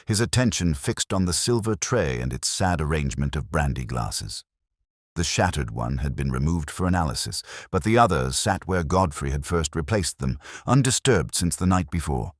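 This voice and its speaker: A man narrates a scripted mystery story in the first person. His voice is exceptionally deep, and the recording is dry, with no sense of a room around it. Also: lively intonation, normal speed, no room sound. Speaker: audiobook narrator.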